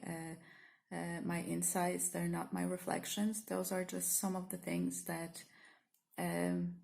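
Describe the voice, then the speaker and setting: A woman's voice: lowish voice, vocal fry, darkish tone. A woman with a Polish accent talks to camera in an unscripted, reflective way, hesitant and earnest, full of fillers and restarts. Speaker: spiritual teacher and vlogger. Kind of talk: spontaneous reflective monologue to camera.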